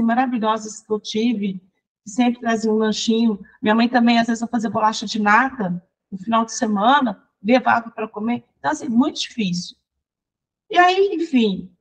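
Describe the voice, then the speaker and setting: A woman's voice: fairly high pitch, poor audio. Chatty Brazilian woman telling her story. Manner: lively, chatty, restarts and laughs while recounting. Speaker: interview guest, songwriter and teacher. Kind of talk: personal storytelling in conversation.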